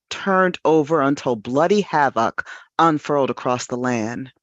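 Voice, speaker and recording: A woman's voice: sits low, no room sound. A woman giving a dramatic poetry reading on a dull recording. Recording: dull lo-fi dry audio.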